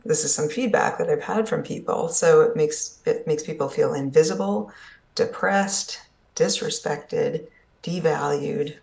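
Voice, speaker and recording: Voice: mid-range voice, creaky. A conference presenter giving an earnest, explanatory talk on ageism, often checking in with the audience by asking "right?". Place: summit session at an events complex.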